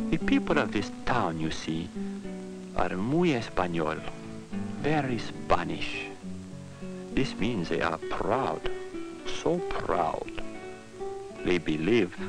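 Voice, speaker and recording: A man narrating an educational film in simple scripted sentences, telling it like a story in measured, deliberate phrases. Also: sits low, gravelly, low-quality recording. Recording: 1960s educational film soundtrack with music. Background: guitar music.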